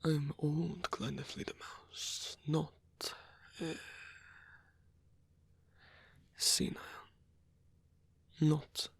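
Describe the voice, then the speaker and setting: A man voicing a lonely old male vampire in an ASMR roleplay, gentle, rambling and bookish, with a soft, breathy, creaky voice and a halting, musing delivery. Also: a little high, flat delivery, no echo, full character voice. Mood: wistful, wry. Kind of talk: ASMR roleplay audio addressed to the listener.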